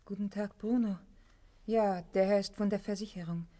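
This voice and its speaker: Radio play role: meek housewife , female actor, civil, medium-pitched. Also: steady tone, moderate pace, dark tone, close to an everyday voice. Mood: pleading. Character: polite, pleading housewife, meek wife. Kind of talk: 1960s radio play acting.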